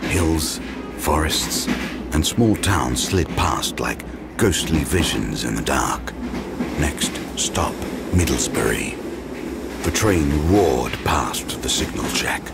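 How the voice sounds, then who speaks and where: A man playing the grave narrator of a horror audio drama, telling the tale in a measured, ominous way. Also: very deep voice, animated, creaky, full character voice. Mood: brooding. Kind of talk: scripted third-person narration in audio drama. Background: loud dramatic music.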